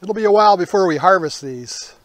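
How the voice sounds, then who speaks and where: A man, hosting a casual garden tour in a folksy, unhurried way outdoors. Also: sits high, animated, no echo. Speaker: gardening vlog host.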